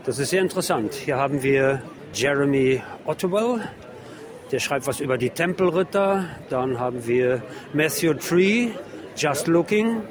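A busy outdoor crowd surrounds this man's fairly high voice. He reports for radio on the spot, describing what is in front of him without a script and reading titles aloud as he goes. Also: lively intonation, measured pace. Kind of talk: live spoken field report describing book stalls. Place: outdoors on a busy city street among book stalls. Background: street crowd.

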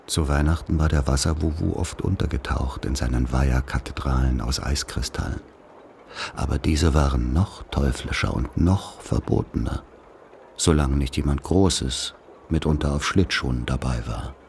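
A man's voice: a bass voice, moderate pace, gravelly, darkish tone, slight background noise. A man narrating literary, scripted childhood memories for a radio play.

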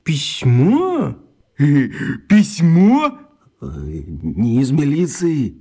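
An actor playing a rude young street hooligan who talks in a slow, mocking drawl. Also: male voice, a little high, huge pitch swings, dark tone, breathy edge, full character voice. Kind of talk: radio play acting.

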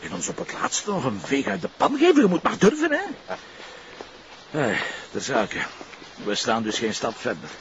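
An actor playing a blunt, sarcastic middle-aged Flemish police commissioner in a radio crime drama. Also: male voice, mid-range voice, wildly swooping pitch, normal speed, creaky, a bit bright, highs cut off, slight background noise, exaggerated voice. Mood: exasperated. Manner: sardonic, blunt, impatient.

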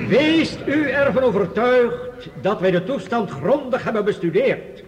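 In a long hall reverb, a high-voiced man leading a world council. He is grave and urgent, declaiming formally in radio drama style. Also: expressive, a little slow. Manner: solemn, declamatory. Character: grave, urgent male council leader addressing delegates. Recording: reverberant hall, faint noise.

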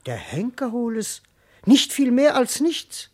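A woman's voice: on the high side, wildly swooping pitch, slow, full character voice. A woman playing a roguish, evasive servant husband in a German classical verse comedy for radio, brash and protesting. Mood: brazen, evasive. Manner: brash, oath-laden protesting.

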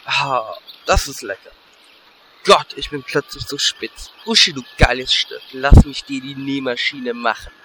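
A man playing a crude, cheeky, excitable adventurer with lecherous urges in a parody fantasy audio drama. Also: mid-range voice, unhurried, noisy background, natural voice.

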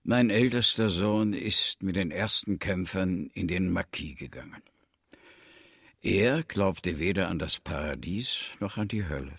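A man's voice: low voice, measured pace, a bit bright, no room sound, clean studio sound, exaggerated voice. An actor playing a talkative, pious old villager grown rich, telling his story expansively in a radio drama. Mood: solemn. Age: older.

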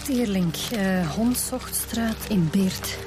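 A woman's voice: medium pitch, slow, close to an everyday voice. A woman with a Flemish accent playing a practical police detective in a naturalistic radio crime drama. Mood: businesslike. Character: female homicide detective, teasing and businesslike. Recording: music under the dialogue.

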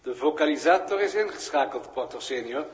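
A man playing a courteous, unflappable galactic bureaucrat in a 1960s radio drama, measured and detached. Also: a little high, lively intonation, lightly acted. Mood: formal. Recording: old radio recording, long hall reverb.

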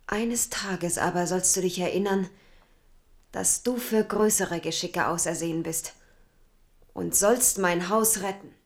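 Prophetic noble mother, solemn, lowish and gravelly. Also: measured pace. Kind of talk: 1970s radio drama acting.

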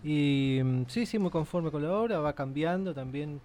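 A man's voice: on the high side, animated, moderate pace, darkish tone, slight background noise. A man, an actor, speaking as a guest in a casual radio interview.

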